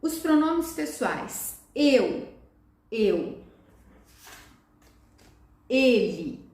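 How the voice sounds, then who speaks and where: Woman teacher going slow, high voice, spells out example words one by one, kinda echoey room. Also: sing-song, darkish tone. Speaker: Portuguese teacher. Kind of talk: relaxed video lesson.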